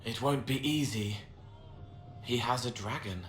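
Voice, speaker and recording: A man playing an eerie, empathetic young man in a fantasy game, soft-spoken in short plain sentences. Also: mid-range voice, unhurried, lightly acted. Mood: ominous. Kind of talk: dramatic fantasy game acting. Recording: echoey, with faint ambient noise.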